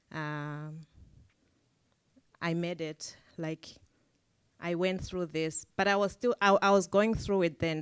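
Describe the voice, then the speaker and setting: Woman panelist, low voice, dry close sound, thoughtful and hesitant. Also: clear, resonant tone, no room sound. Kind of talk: panel discussion answer.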